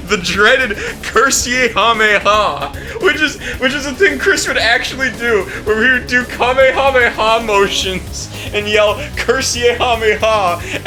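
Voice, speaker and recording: A young adult man doing casual host commentary and reading a summary aloud, sweary and amused. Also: high voice. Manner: sweary, amused, often breaking into laughter. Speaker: video host.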